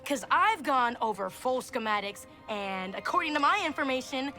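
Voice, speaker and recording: A defiant eighteen-year-old girl in a game cutscene. Her voice is high and bright, swinging up and down as she asserts herself with exasperated sass, with faint music behind. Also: animated, moderate pace. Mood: scornful. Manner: exasperated, assertive, youthful slang. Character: defiant, sassy eighteen-year-old daughter, headstrong idealist. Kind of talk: video game cutscene acting.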